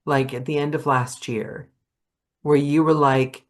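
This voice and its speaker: A woman hosts a relaxed conversation over a video call, working through her thoughts out loud. Her voice sits very low for a woman. The talk rambles in a chatty, friendly way. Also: measured pace. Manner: chatty, searching, thinks aloud. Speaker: podcast host and audiobook narrator. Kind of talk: casual conversational interview.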